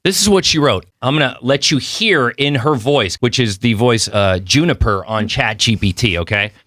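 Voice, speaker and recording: A man's voice: mid-range voice, moderate pace, a bit bright, dry recording, clean studio sound. Chatty slangy male podcast host. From Mexico. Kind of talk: casual interview chat. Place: podcast studio.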